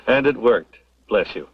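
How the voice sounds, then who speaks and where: A learned, skeptical professor in a radio western. His husky voice moves up and down with each probing phrase. The sound is dry and muffled, like old radio. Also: mid-range voice, dry recording, heavily acted. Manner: measured, inquisitive, learned. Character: shrewd, skeptical male professor in the Old West. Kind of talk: old-time radio drama acting.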